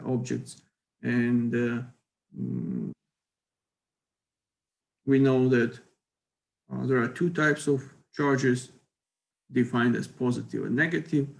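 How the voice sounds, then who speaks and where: A middle-aged man teaches a university physics class, talking through a computer mic. He speaks slowly and haltingly, stopping often for fillers, and his voice has a gravelly fry. His pitch sits in the middle range for a man. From Ukraine. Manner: hesitant, many fillers, careful non-native phrasing. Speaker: physics lecturer. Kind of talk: university lecture.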